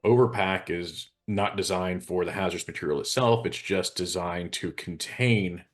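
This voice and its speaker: A man, a specialist co-host, giving an informal technical explanation in a measured way with pauses. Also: deep voice.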